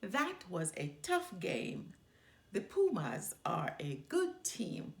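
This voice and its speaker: A woman voicing a calm, thoughtful boy bear with a gentle, simple manner. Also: on the low side, takes it slowly, exaggerated voice. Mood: consoling. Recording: roomy echo.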